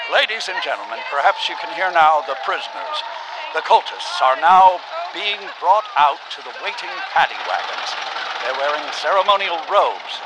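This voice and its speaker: A man's voice: fairly high pitch, lightly acted. A man playing a solemn, declamatory field correspondent in a vintage-style radio drama, on a noisy outdoor broadcast. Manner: declamatory, measured reporting. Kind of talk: vintage radio news acting.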